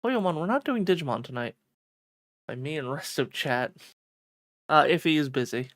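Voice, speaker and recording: Excitable streamer chatting w/ viewers, voice swings all over, swears a bit, super dry mic. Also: mid-range voice, normal speed, dry recording. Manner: excitable, profane, bantering with chat. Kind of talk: casual livestream chat and reading a visual novel aloud.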